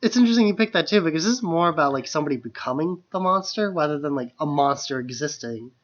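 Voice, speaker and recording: A man with a fairly high voice talks as a podcast co-host. He speaks in a casual, chatty style about film. The audio is slightly dull and lo-fi. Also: expressive, fast.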